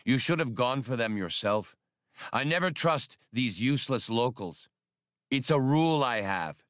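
A man's voice: mid-range voice, expressive, measured pace, a bit bright, no room sound, clean studio sound. A man voicing an arrogant young showman pilot. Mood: dismissive.

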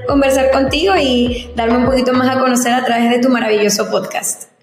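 A woman's voice: high voice, steady tone, brisk, soft background music. Young woman in an echoey room. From Venezuela (Zulia). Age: 20s. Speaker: guest. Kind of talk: casual interview chat. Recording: echoey room with long reverb.

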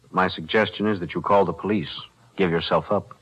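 An actor playing a calm, principled lawyer who speaks in a measured, steady way in a 1940s radio drama. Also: male voice, low-pitched, breathy edge. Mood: composed. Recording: dull lo-fi old radio broadcast.

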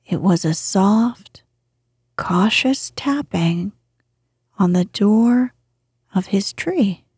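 A woman with a breathy, hushed voice reading bedtime fiction as a podcast host, gently and with measured phrasing. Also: medium pitch, lively intonation, dry recording, clean studio sound. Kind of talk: soft, soothing bedtime story reading.

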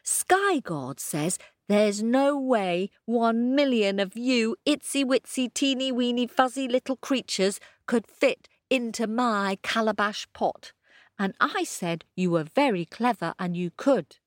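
A woman voicing a sly, mischievous little male hare in a children's story, with a high, bouncy voice. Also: animated, slow pace, dry recording, studio quality. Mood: cheeky.

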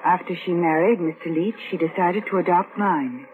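A woman's voice: lowish voice, normal speed, close to an everyday voice. An actress playing a reserved, hesitant shop girl giving plain-spoken, guarded answers in old-time radio drama style. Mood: quietly confessing. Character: guarded, unmarried London shop girl confessing a secret. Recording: tinny, hissy vintage radio recording.

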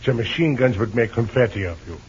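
A man's voice: mid-range voice, expressive, fast, a little mellow, natural voice. An actor playing an anxious, earnest young Dutch colonial man with formal, precise speech in a 1940s radio serial. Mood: worried, pleading. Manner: formal, pleading, precise wording. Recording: muffled, noisy old radio recording.

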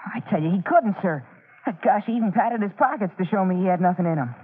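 A young man playing an excitable, earnest boy junior firefighter in an old-time radio drama, eager and full of boyish exclamations. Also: high-pitched, quick pace, husky. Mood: insistent. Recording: muffled tinny vintage radio recording.

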